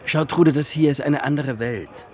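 A man's voice: fairly high pitch, normal speed, darkish tone, some chatter behind, close to an everyday voice. An actor playing a worldly émigré film man in a radio drama, pragmatic and persuasive, with a wry, advising manner.

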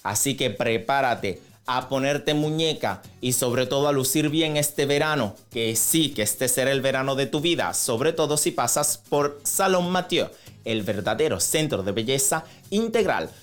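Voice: mid-range voice, expressive, moderate pace. Una voz de radio de tono medio que presenta noticias de farándula y patrocinios en un programa matinal, hablando con cercanía al público. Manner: chatty, conversational asides to co-host and audience. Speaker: radio host. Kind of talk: entertainment news and sponsor reads on a morning radio show. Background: background music.

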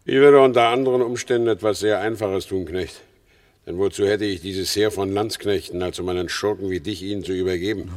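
An actor in a German radio play as a proud, embittered and resigned outlaw horse dealer, speaking gravely and formally. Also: male voice, low-pitched, steady tone, brisk, darkish tone, close to an everyday voice. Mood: bitter, resigned.

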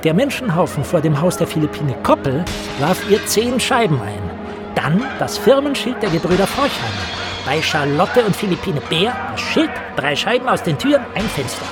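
A high-voiced, deferential travelling writer. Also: male voice, sing-song, moderate pace, loud music behind the voice. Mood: agitated. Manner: deferential, meticulous, hesitant politeness. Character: deferential, precise male traveller and writer telling his misfortunes. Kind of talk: German radio play acting.

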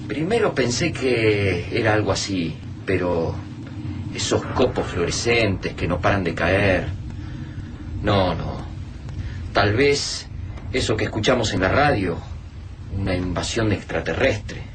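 A man's voice: on the low side, lively intonation, slow pace, background noise. An actor playing a resourceful male friend who explains things plainly in a radio play. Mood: somber. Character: resourceful man, friend bringing supplies. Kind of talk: radio drama acting.

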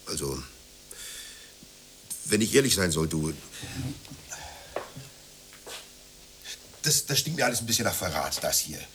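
Bitter low-voiced jealous man. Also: big pitch swings, normal speed, a bit bright, slight background noise. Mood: aggrieved, reproachful. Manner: scornful, ranting, emphatic. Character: bitter, jealous working-class man, bullying ex-lover. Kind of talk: naturalistic radio play dialogue.

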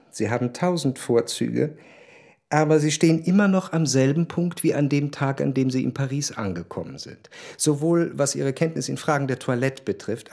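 An actor playing a haughty, impatient gentleman with a formal, condescending manner in a German radio play. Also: medium pitch, lightly acted. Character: haughty, impatient well-off gentleman, master of house.

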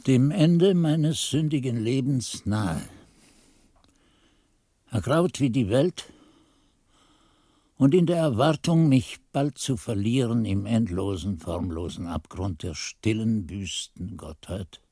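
An actor playing an aged, frail monk who solemnly recounts his youth in a radio drama, husky and measured, with phrases falling away to a hush. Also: medium pitch, dry recording. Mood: weary, solemn. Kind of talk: radio drama narration, archaic literary chronicle.

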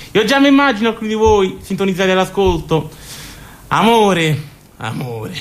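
Roman-accented woman presenting a comic show. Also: medium pitch. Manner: colloquial, self-mocking. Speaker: stage presenter. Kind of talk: comic theatrical monologue addressed to audience.

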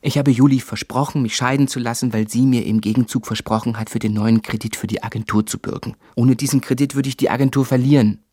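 An actor playing a middle-aged, pressured adman husband in a radio drama, husky and measured. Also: mid-range voice, fairly even intonation, warm tone, no room sound, clean studio sound, lightly acted. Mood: earnest, self-justifying. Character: pressured adman husband, placating and self-justifying. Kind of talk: radio drama acting, dialogue and monologue.

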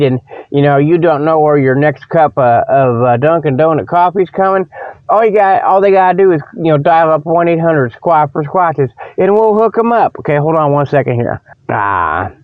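A man's voice: on the high side, dry recording, narrow, tinny audio. A man hosting a comic radio report in a folksy, meandering monologue, with a bit of a frog in his throat. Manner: folksy, colloquial, meandering, often losing the thread. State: slight frog in the throat from a lingering cold. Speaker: host of a humorous report show. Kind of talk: rambling comic radio monologue with mock sponsor pitches.

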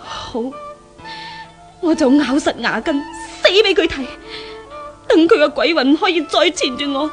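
A woman's voice: high-pitched, huge pitch swings, measured pace, full character voice. An actress playing an anguished, suicidal young rich lady haunted by a ghost, in theatrical Hong Kong Cantonese 1970s radio drama style. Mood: bitter defiance. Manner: theatrical soliloquy, exclaiming and lamenting. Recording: old 1970s radio drama with background music.

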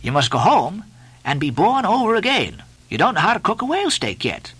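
A bossy, mocking ship's officer, played by a male narrator, pitched high and swinging widely in tone. He badgers with theatrical relish, bright and forceful, firing off nautical orders and mock-solemn questions as in an audiobook sea tale. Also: wildly swooping pitch, brisk, breathy edge, exaggerated voice. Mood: bossy, badgering.

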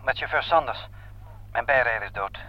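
An actor playing a military ambulance driver at the front, reporting urgently in clipped phrases in a radio play. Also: male voice, medium pitch, a little fast, close to an everyday voice. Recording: dull, dry old radio recording.

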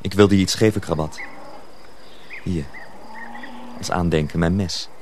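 A man playing a calm senior mill journeyman in a radio play, reassuring and gently instructive. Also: low-pitched, a little slow, background noise. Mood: subdued.

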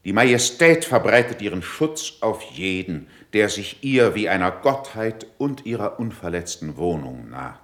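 A man's voice: on the low side, a bit bright. An actor playing a stern, eloquent courtier accusing a rival before his prince, in formal, declamatory verse for a classical radio play. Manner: declamatory, formal, weighty pronouncements.

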